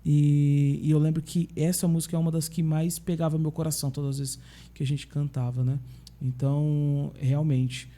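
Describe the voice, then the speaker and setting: A man, a pastor with a Brazilian accent, telling stories in a relaxed conversation and often checking in with tag questions. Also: fairly high pitch, moderate pace. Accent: Brazilian Portuguese. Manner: chatty storyteller, frequent tag questions. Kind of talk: casual podcast conversation.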